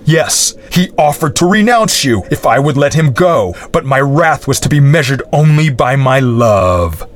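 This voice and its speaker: A man's voice: mid-range voice, big pitch swings, measured pace, slight background noise, pitched above the performer's own voice. A jealous, scheming baron, as a male narrator puts him on, courtly on the surface and menacing underneath. His voice sits above the reader's own, with a changed tone. He speaks in a spoken storybook.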